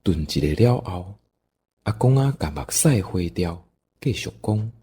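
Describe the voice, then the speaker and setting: Taiwanese guy narrating a radio play, deep raspy voice, really animated and folksy. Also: measured pace. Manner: folksy, dramatic. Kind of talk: expressive scripted storytelling for audio drama.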